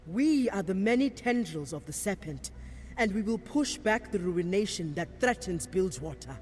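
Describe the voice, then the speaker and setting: An actress playing a solemn, devout serpent priestess in a game cutscene, speaking in a measured, ceremonial manner. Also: female voice, medium pitch, animated, a little mellow, close to an everyday voice. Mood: resolute. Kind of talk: dramatic game cutscene acting. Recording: reverberant hall with faint music behind.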